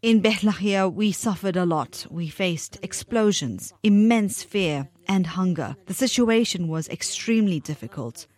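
A woman giving a quiet, sombre account of her experience in a recorded voice message. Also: mid-range voice, animated, measured pace, few pauses. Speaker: civilian giving testimony.

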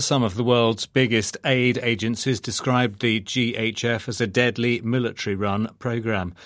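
Male news anchor, low voice, dry studio sound, measured formal read. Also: dry recording. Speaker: news presenter. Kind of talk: scripted news reading.

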